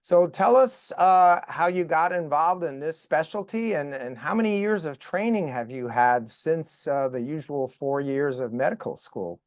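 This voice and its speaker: A man hosting a podcast interview over video call, raspy from a recent cold, enthusiastic and full of fillers. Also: a little high, expressive, dry recording, clean studio sound. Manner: enthusiastic, halting with many fillers. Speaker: podcast host.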